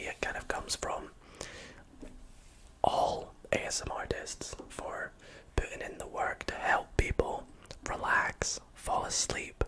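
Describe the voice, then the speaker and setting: A person with a Scottish accent whispering softly into a close microphone while answering chat questions on a livestream, with frequent ums. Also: low-quality recording. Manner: soft whisper, reading chat aloud with many fillers. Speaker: ASMR streamer.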